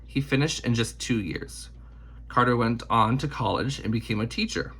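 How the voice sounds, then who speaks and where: A man reading a children's biography aloud in an expressive storytelling style, with measured, deliberate phrasing. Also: mid-range voice, slightly rough.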